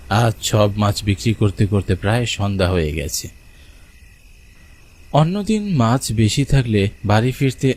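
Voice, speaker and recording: A man's voice: fairly low pitch, darkish tone. A man playing a poor, hardworking village fisherman who tells his own cartoon story. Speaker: narrator and lead character. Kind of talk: first-person cartoon story narration with acted dialogue.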